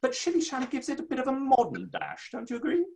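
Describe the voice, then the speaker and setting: A man's voice: very high-pitched, huge pitch swings, fast talker, a little mellow, heavily acted. A man playing a pompous, self-satisfied Victorian playwright in a theatrical dramatic reading. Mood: smug, pleased. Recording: online reading from home setup.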